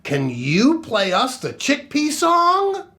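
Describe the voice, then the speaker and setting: A man hosting a children's storytime show in a playful, theatrical, exaggerated way, with sing-song exclamations and pauses. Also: very high-pitched, huge pitch swings, sharp tone. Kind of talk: playful children's show presenting with dad jokes.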